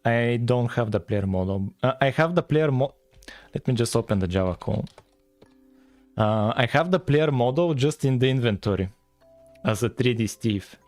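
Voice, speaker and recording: A man's voice: mid-range voice, expressive, moderate pace, a little mellow, no room sound, soft background music. A man from Bulgaria with a Bulgarian accent, chatting casually and thinking aloud as he livestreams his coding. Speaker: live streamer, game developer.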